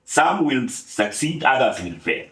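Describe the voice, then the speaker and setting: A man in his seventies from Kenya speaks at an unhurried pace, with dry jokes and frequent filler pauses. His voice sits in an ordinary middle range. The sound carries the echo of a roomy space. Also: mid-range voice, big pitch swings, bright, forceful tone. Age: 70s. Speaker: guest speaker. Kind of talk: relaxed storytelling talk.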